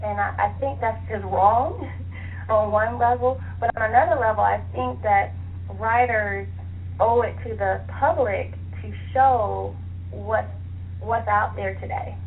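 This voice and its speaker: Higher-voiced woman on a tinny phone line. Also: measured pace. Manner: chatty, enthusiastic, laughs easily. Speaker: author being interviewed. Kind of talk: lively conversational interview. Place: phone call to internet radio show.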